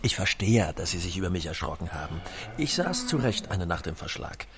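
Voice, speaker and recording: An actor playing a slick, self-assured middle-aged car dealer in a radio drama, smooth-talking and expressive. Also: male voice, deep voice, a little fast, noisy background. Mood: flattering, coaxing. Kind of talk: German audio drama acting.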